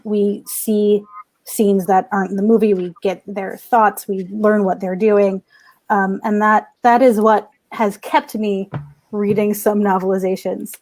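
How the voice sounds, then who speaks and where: A woman talking casually as a fan panelist, halting and then repeating points for emphasis. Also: mid-range voice, darkish tone, rough recording. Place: remote online panel recorded at home.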